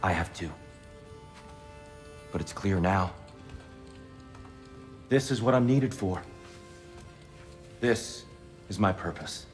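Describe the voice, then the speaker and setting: Game casting: a secretive old warrior god, low-voiced, stately and solemn. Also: male voice, soft background music. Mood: solemn resolve. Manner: grave, measured, declamatory. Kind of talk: video game cutscene acting.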